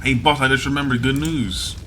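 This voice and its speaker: A man reading a visual novel aloud, acting out dialogue in an animated way and adding asides. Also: medium pitch, fairly quick, a little hiss or hum. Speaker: gamer playing a visual novel. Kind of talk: dramatic read-aloud of game text with asides. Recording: music behind the voice.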